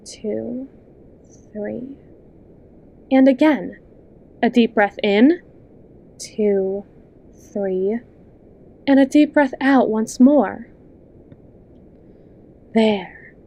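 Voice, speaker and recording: A woman playing a possessive, manipulative best friend and hypnotist in an ASMR roleplay, coaxing and condescending in a soft, intimate voice, speaking straight to the listener. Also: fairly high pitch, full character voice. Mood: soothing, controlling. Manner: slow, coaxing, condescending, with lingering pauses.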